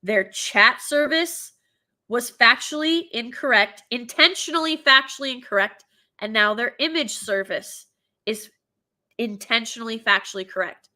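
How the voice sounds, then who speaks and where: A woman hosting her own commentary show, her voice stuffy from a cold. Her tone is bright and cutting, sitting a little above the usual pitch. She is animated and opinionated, slipping into mocking impressions as she goes. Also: measured pace. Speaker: show host.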